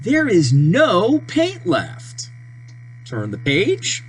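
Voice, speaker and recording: A person reading a children's storybook aloud, with deliberate, simple phrasing and page-turn prompts. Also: mid-range voice. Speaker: storybook reader. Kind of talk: children's read-aloud narration. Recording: steady mains hum behind voice.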